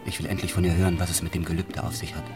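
Radio drama: male actor as resolute young countryman, earnest, intense, low pitch, strong music bed. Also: level tone, rapid-fire, lightly acted. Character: determined, earnest young male peasant searching for his love. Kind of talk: German radio drama acting.

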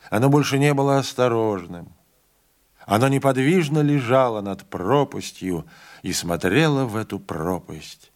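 A man narrating a literary audiobook in a measured, dramatic storytelling manner. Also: fairly low pitch.

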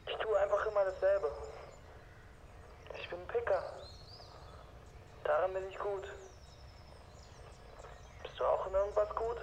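An actor playing a reclusive, awkward young CB radio enthusiast in a German radio play, earnest and halting, heard through a radio filter. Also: male voice, high voice, a little hiss or hum. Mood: withdrawn. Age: young adult. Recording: muffled CB radio sound, faint ambience.

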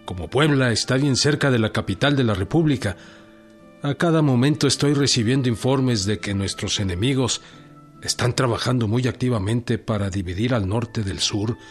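An actor playing an earnest, grave and determined peasant revolutionary general in a radio drama. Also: male voice, medium pitch, normal speed, faint music behind, close to an everyday voice. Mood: grave, formal.

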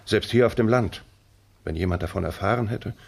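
A man's voice: fairly low pitch, fairly quick, gravelly, darkish tone, husky. An actor playing a grave older colonel and protective father in a 1960s German radio drama, speaking solemnly and heavily. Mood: grave, pained.

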